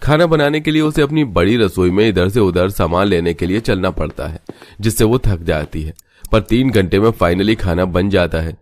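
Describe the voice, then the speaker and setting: A man's voice: low voice, normal speed. A man reading scripted narration as a story narrator.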